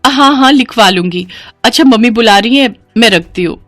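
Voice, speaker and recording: A woman voicing an anxious, complaining young bride-to-be in an animated cartoon story. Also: on the high side, moderate pace, no echo, soft background music, pitched above the performer's own voice, natural voice. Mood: polite, uneasy. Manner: fretful, complaining.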